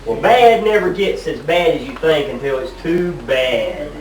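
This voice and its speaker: A man acts a fussy husband, complaining in a high and very lively voice. It is comic bickering on a stage. He is heard in an echoey hall. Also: measured pace. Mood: wry. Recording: distant echoey hall, audience noise.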